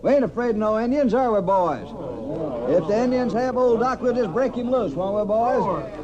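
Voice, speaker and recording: An actor playing a stubborn, folksy posse leader with a rural Western American accent in an old radio drama. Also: male voice, very high-pitched, moderate pace, crowd noise. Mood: defiant. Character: stubborn, belligerent frontier man leading a rough posse. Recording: dull, noisy old radio recording.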